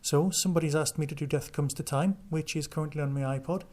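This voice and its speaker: A man presents his podcast in a casual, conversational style, talking through news and reviews on his own. His voice sits a little higher than average for a man. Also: fast, dark tone. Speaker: podcast host. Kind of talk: casual solo podcast review and listener chat.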